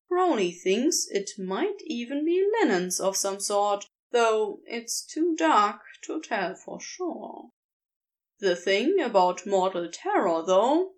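A woman narrates a story at an unhurried, deliberate pace. Her voice sits a little high and moves through a wide, lively melody as she tells it, measured and expressive. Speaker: podfic reader.

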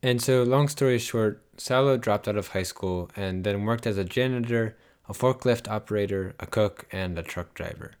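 Guy narrating a life story, low voice, quick storyteller summaries. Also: darkish tone, smooth. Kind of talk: scripted narration.

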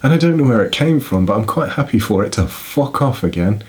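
A man's voice: medium pitch, animated, a little fast, darkish tone. A young man co-hosting a casual book podcast, playful and fond of puns, poking fun at himself. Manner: playful, punning, self-deprecating. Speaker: podcast co-host.

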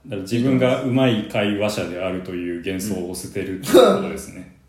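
A man's voice: lowish voice, very animated, brisk, a little mellow. A man co-hosting a radio show, talking in a casual, conversational way. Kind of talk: casual chat. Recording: echoey room.

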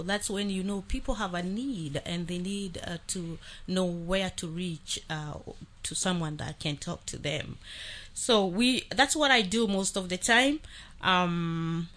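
Bright-voiced Kenyan-born woman, earnest. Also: mid-range voice, slight background noise. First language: Swahili. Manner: earnest, halting with many fillers. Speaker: outreach worker, radio ministry host. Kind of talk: radio talk show guest.

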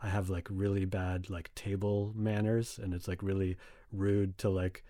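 A man's voice: sits low, flat delivery, normal speed, mellow, no echo, clean studio sound. A young man from the United States, a guest in a casual conversation, rambling with many fillers and restarts.

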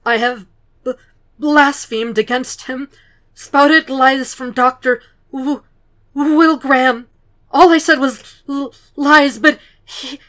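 A woman's voice: high-pitched, a bit bright, voice pushed up. A woman voicing a terrified captive man who stammers through a forced, pleading confession. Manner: stuttering, strained and pleading.

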